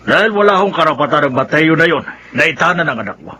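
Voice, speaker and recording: A man's voice: fairly high pitch, lively intonation, quick pace, slight background noise. A man playing a stern, resentful middle-aged father in a radio drama, confrontational in manner. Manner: forceful, confrontational.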